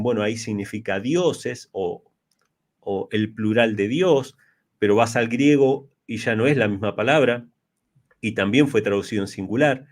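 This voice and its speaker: A man in his early forties explaining religious ideas in an interview, speaking emphatically with repetition and rhetorical questions. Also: fairly low pitch. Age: early 40s. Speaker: interviewee, Bible teacher.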